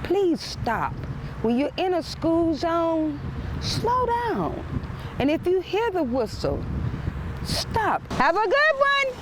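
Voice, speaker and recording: A woman's voice: very high-pitched, wildly swooping pitch, slow. A woman working as a school crossing guard, speaking outdoors in short, plain phrases, in interview answers and calls to passers-by. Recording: noisy outdoor street with passing vehicles.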